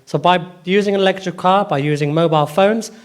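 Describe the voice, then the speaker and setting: A man's voice: sits high, brisk. A man on a public panel who answers questions in a firm, explanatory way. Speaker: panel speaker.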